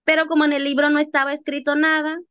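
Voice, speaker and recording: A young adult woman from Mexico's Costa Chica, with a Costa Chica accent, telling her own story in an interview in an animated way. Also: high voice, quick pace, dry recording. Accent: Costa Chica Mexican Spanish. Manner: animated, acts out remembered dialogue. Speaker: interview guest, activist. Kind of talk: personal storytelling in conversation.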